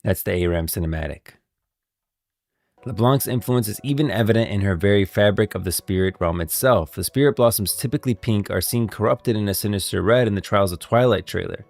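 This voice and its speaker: A man's voice: lowish voice, a little fast, gravelly, darkish tone. A man hosting a stream of game lore commentary, moving between casual talk and scripted reading. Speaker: streamer, host.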